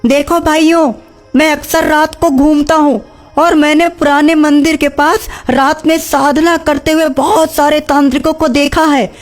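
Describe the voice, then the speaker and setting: A woman voicing a small, helpful jungle animal in a children's cartoon. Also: high voice. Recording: music behind the voice.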